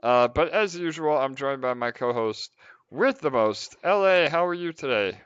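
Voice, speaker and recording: A man's voice: mid-range voice, lively intonation, normal speed, a bit bright, smooth, no echo. A man hosting a casual livestream Q&A, talking with many filler sounds. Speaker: team lead and host. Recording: slightly dull, dry audio.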